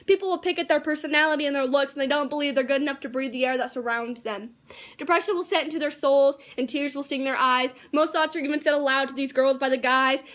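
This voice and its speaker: A high, youthful female voice, a girl in her teens, performs slam poetry at a rapid pace. She delivers it like a rehearsed piece, earnest and emphatic, as an amateur poet would. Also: fairly bright tone.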